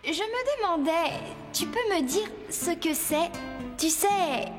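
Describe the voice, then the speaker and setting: A woman's voice: extremely high pitch, lively intonation, slow, long reverb tail, over music, heavily acted. A woman voicing a friendly, practical, curious young girl in a French cartoon. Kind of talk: French animated series dialogue.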